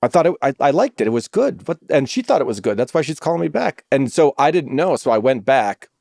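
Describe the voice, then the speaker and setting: Middle-aged male actor, mid-pitched, animated raconteur, swears casually, dry studio sound. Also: fast, vocal fry, no echo. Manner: animated storyteller who swears freely. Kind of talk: casual podcast chat.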